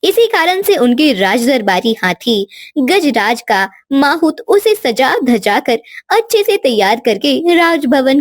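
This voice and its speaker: A woman's voice: high voice, no room sound, clean studio sound. A woman giving scripted narration for a children's moral story.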